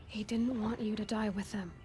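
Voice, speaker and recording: A woman's voice: medium pitch, steady tone, normal speed, warm tone, slight background noise, natural voice. A woman playing a young outcast huntress in a video game, earnest and questioning, with sympathy in her delivery. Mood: gentle, curious. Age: young adult. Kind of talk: video game dramatic acting.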